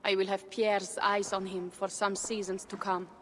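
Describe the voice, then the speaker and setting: A woman's voice: mid-range voice, little pitch movement, normal speed, slight background noise, natural voice. A woman playing a proud young Viking jarl. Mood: earnest. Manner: firm and earnest. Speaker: jarl character. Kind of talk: game cutscene dialogue.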